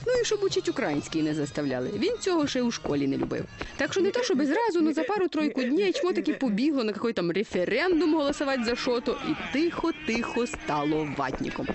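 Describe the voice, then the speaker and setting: Male cartoon narrator, ironic mock fairy-tale telling, very lively intonation, brisk pace, colloquial. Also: very high voice. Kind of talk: scripted satirical storytelling for a cartoon. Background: music.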